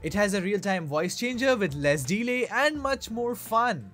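High-voiced man narrating over music. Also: big pitch swings, normal speed, fairly bright tone. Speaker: tech reviewer, channel host. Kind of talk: scripted tutorial narration. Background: background music.

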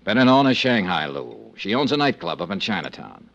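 A very low, gravelly American man's voice, cool and probing as a hard-boiled radio investigator. He talks in a clipped, wry way. The sound is the dull lo-fi of a 1950s broadcast. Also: wildly swooping pitch, fairly quick, fairly bright tone, heavily acted. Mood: sardonic, pressing. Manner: clipped, sardonic. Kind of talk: 1950s radio detective acting and narration.

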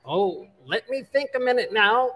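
A woman plays a high-pitched old granny from a vintage radio comedy. She is a folksy widow, coy and cheeky, the neighborhood know-it-all who lands every punchline. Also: expressive, moderate pace, fairly bright tone, slight background noise. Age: older. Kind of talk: 1940s radio comedy acting. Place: live stage in a museum hall.

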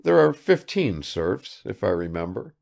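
A man's voice: deep voice, very animated, unhurried, vocal fry, a little mellow, full character voice. A man doing a blunt, offhand young man. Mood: curt. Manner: curt, nonchalant.

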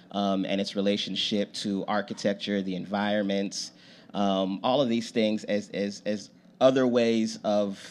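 Low, flat-voiced man on a panel. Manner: casual, hedging, many fillers and "right?". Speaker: panelist, artist and designer. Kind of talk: panel discussion. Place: lounge venue with audience, indoors. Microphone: handheld mic.

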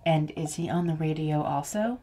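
A woman's voice: low-pitched, normal speed, warm tone, slight background noise, close to an everyday voice. A woman playing a wry, emotional heroine kept as a living head in an audio drama, in naturalistic, pointed dialogue. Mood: playful.

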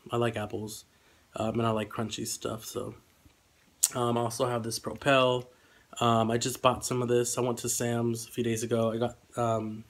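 A young man with a slightly congested voice, chatting casually and hesitantly as he rambles through a vlog. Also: medium pitch. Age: young adult. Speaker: vlogger. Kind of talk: casual eating vlog chat. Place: small room indoors at home.

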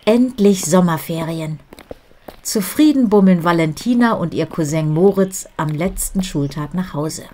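Ruhige Vorleserin mit tiefer Stimme. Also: female voice, on the low side, dark tone. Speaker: storybook reader. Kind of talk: scripted picture book narration.

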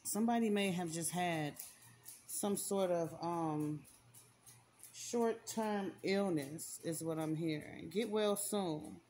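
A woman's voice: fairly low pitch, slow, dark tone, slight background noise. A woman doing a casual spiritual card reading, talking straight to the viewer, repeating herself for emphasis and using slang. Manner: repetitive, emphatic, slangy, talks to viewer directly. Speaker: spiritual reader. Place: indoors at a table, hands and cards on camera.

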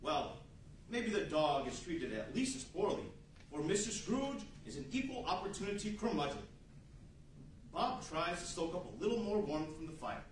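A man's voice: sits high, expressive, normal speed. A man playing a theatrical, wry 1940s radio announcer and storyteller on stage. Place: large indoor meeting room with seated audience. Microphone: far camera. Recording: very echoey room from far off, faint noise.

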